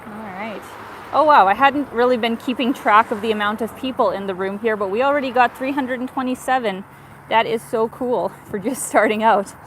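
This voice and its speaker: A woman's voice: a little high, brisk. Thirties woman, Ukraine-born vlogger; grateful, chatty, phone-held walking livestream, faint street noise behind. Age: 30s. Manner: warm, grateful, chatty with frequent fillers. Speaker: travel vlogger. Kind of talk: casual livestream chat, reading viewer messages. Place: outdoors on a city bridge in winter.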